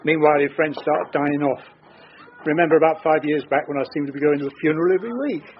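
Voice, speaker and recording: A man's voice: on the high side, fast, lo-fi sound, natural voice. An actor playing a gloomy, grumbling elderly father in a radio drama. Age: older.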